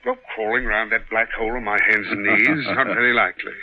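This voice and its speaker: An English actor playing a dry, lofty government official in an old radio drama, precise and superior. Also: male voice, on the low side, fairly even intonation, fast, crowd noise, lightly acted. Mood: dry, brisk. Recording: dull vintage radio recording.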